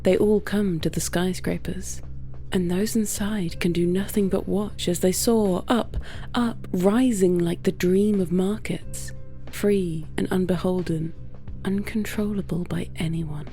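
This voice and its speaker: A woman narrating a scripted literary story in a measured, hushed way, with dramatic pauses. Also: fairly low pitch, fairly even intonation, soft background music.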